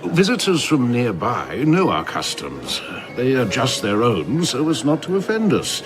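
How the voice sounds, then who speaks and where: An actor playing a proud, mocking citizen of an ideal island in an audio drama, speaking with scornful amusement. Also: male voice, mid-range voice, huge pitch swings, measured pace, over music.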